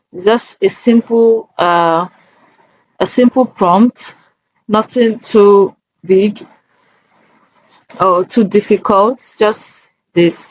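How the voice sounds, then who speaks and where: Slow-paced woman giving a tutorial. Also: medium pitch, no room sound, low-quality recording. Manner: instructive, often checking in with "okay?". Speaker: tutorial presenter. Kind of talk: casual step-by-step screen tutorial.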